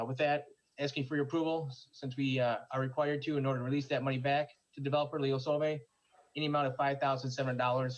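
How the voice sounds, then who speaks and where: A man presents a routine item to a city council in a flat, matter-of-fact voice. He talks briskly, and the sound is dull and muffled. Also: mid-range voice. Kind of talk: formal report to council.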